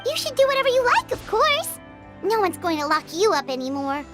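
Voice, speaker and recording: A woman voicing a small, childlike fairy companion in a video game, squeaky, excitable and chatty, speaking in exclamations. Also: brisk, loud music behind the voice. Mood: cheerful. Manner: exclamatory, refers to herself in third person. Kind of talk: animated video game voice acting.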